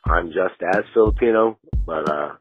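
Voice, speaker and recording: Low-voiced man leaving a voicemail. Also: normal speed, phone-quality sound. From Inland Empire, California. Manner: hesitant, casual storytelling. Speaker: voicemail caller. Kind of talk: voicemail story. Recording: voicemail with music underneath.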